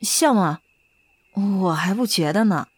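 A woman's voice: medium pitch, lively intonation, slow, no room sound. A woman playing a young female disciple disguised as a boy, polite, questioning and hesitant, in a Chinese period audio drama. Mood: respectful, curious.

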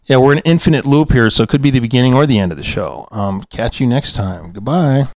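A man's voice: medium pitch, fast talker, darkish tone, no room sound. A man co-hosting a podcast in casual after-show banter, hesitant, meandering and jokey in his delivery. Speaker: podcast co-host.

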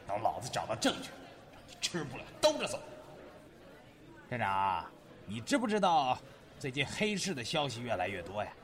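A man's voice: medium pitch, wildly swooping pitch, moderate pace, pauses often, fairly bright tone. A man playing a crude, swaggering secret agent who needles and menaces, in a radio drama. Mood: threatening. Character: coarse, menacing male Republican-era secret agent. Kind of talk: Chinese radio drama acting. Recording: busy crowd noise behind.

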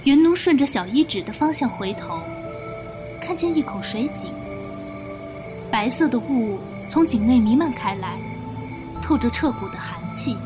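A woman's voice: a little high, lively intonation, normal speed, long pauses. A woman giving dramatic scripted narration for an audio drama. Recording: loud music behind the voice.

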